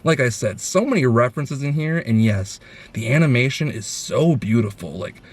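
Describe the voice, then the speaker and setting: A man's voice: mid-range voice, animated, moderate pace. Enthusiastic man hosting a casual film review. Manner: enthusiastic, effusive.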